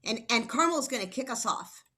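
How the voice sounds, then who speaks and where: A woman's voice: on the high side, expressive, normal speed, sharp tone. A woman hosting a training session on a video call, upbeat and rambling, a little flustered. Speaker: training host. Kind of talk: casual presentation on a video call.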